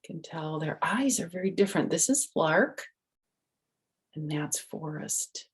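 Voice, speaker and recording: An older woman reading a picture book aloud to children, gently explaining with chatty asides and questions to the listener. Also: low voice, lively intonation, a little slow, breathy edge. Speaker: storyteller and host.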